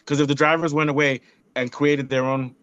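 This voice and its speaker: A man co-hosting a motorsport podcast, talking bluntly in an animated rant full of restarts. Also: mid-range voice, fairly quick, smooth, no room sound, highs cut off. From not European. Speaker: podcast co-host. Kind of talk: casual opinionated chat.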